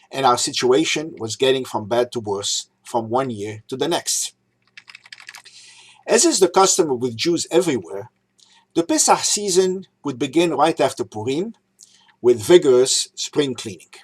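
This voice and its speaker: A man's voice: mid-range voice, breathy edge. A man in his sixties who grew up in Egypt, giving a prepared holiday talk and recalling customs, listing items one at a time. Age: 60s. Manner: measured, reminiscing, lists items one by one. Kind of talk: prepared talk read aloud.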